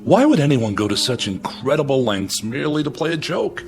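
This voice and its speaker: An actor playing an earnest, uncertain army major in a radio drama, speaking in a measured, deferential, thoughtful way. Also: male voice, mid-range voice, very animated. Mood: puzzled, doubtful. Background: music.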